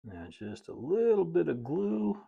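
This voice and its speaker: A man's voice: on the high side, big pitch swings, a little slow, gravelly, warm tone, breathy edge, lo-fi sound. A middle-aged man narrating a hands-on hobby tutorial in a casual, folksy way with chatty asides. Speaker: model railroad builder and video host.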